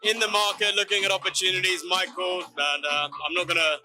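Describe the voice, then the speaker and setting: A man who grew up in London, a regional head of a firm, answering calmly with frequent pauses. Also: sits high, music in the background. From Sri Lanka, raised in London. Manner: calm, measured, frequent "um"s. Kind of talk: casual interview answers.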